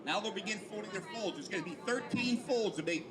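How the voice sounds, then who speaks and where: A man with a fairly high voice presents to children in a simple teaching style. He poses questions and explains plainly, with clear outdoor noise around him. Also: lively intonation, fairly quick. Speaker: flag etiquette presenter. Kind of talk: educational talk to children. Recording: noisy outdoor event recording.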